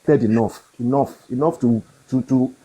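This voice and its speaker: A man's voice: mid-range voice, moderate pace, highs cut off, background noise. A man in a live debate, teasing and mocking in a loose, laughing way. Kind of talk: heated live audio debate.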